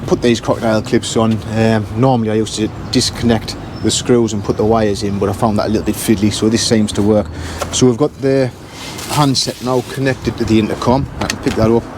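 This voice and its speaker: Man talking over loud outdoor noise. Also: on the low side, little pitch movement, fairly quick, hardly any pauses. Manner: matter-of-fact, thinking aloud while working. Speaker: intercom repair engineer presenting his work. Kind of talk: casual hands-on repair walkthrough.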